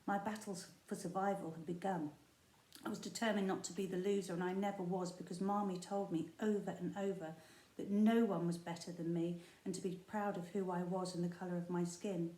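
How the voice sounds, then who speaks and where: A woman primary school teacher reading a children's book aloud, expressive and storytelling, with the odd slip. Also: fairly low pitch, brisk. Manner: expressive storytelling with small slips and asides. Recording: echoey room.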